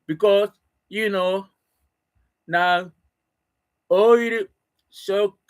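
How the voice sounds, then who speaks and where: A middle-aged Japanese man, a native Japanese speaker, talking alone in halting English with a Japanese accent, pausing and restarting as he searches for words. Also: high voice, steady tone, smooth. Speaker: solo broadcaster practicing English. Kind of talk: casual spoken monologue.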